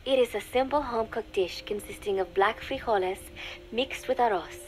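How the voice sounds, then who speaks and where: A woman voicing a young undercover spy in a video game, dictating a private diary in a measured, confiding, dryly scornful way. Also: a little high, close to an everyday voice, rough recording. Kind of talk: scripted dramatic diary narration for a game.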